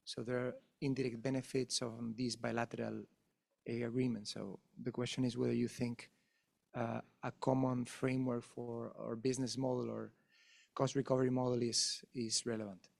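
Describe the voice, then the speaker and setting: Man asking a panel question, gravelly voice, flat tone, restarts. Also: medium pitch. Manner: halting, restarts, tag question "no?". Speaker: moderator. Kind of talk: panel discussion.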